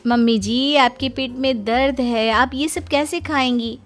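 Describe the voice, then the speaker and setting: A woman playing a sharp-tongued young daughter-in-law in a Hindi cartoon, speaking with sugary politeness and a sassy bite. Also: high-pitched, steady tone, unhurried, faint music behind. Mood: mock-sweet. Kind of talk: Hindi cartoon story voice acting.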